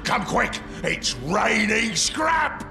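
A man voicing a brutish, greedy ork from a video game, with a rough, gravelly voice, crude bellowing delivery. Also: high voice, big pitch swings, drawn-out words, raised voice, music in the background, heavily acted. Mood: excited bellowing. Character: brutish, greedy, scheming ork warboss and his excitable underlings. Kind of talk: video game character acting.

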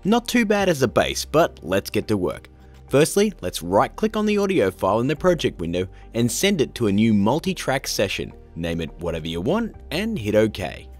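Lively man presenting a tutorial, music behind. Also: mid-range voice, moderate pace. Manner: chatty, informal, addressing viewers directly. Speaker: host, video tutorial presenter. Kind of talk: upbeat step-by-step tutorial narration.

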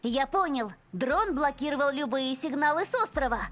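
A plucky boy hero in an animated series. The voice is high and youthful, earnest and spirited, over clear background music. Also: moderate pace. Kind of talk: animated cartoon dub acting.

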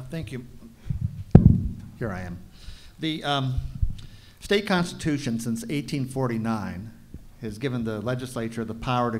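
A man in his sixties, a school board candidate, giving a halting forum statement with many fillers into a desk mic. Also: mid-range voice. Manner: halting, many fillers and restarts, firm on policy. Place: meeting room at a panel table. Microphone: desk mic on a stand.

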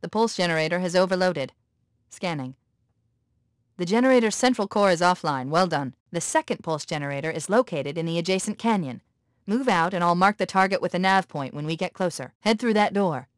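A woman voicing a quick-witted, analytical military artificial intelligence in a video game, with a crisp, authoritative manner. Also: on the low side, no echo, studio quality, natural voice. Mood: analytical, focused. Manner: crisp, precise, authoritative briefing.

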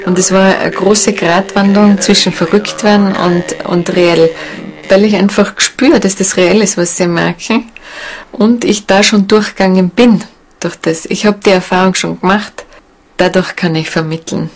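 A woman talking reflectively in a documentary interview, halting and pausing to find her words. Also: medium pitch, steady tone, a little hiss or hum. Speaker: shamanic seminar leader and interviewee. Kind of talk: documentary interview, unscripted talk.

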